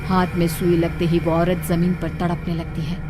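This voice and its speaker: A woman's voice: fairly low pitch, level tone, normal speed. A woman narrator reading a scripted horror story. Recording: faint music behind the voice.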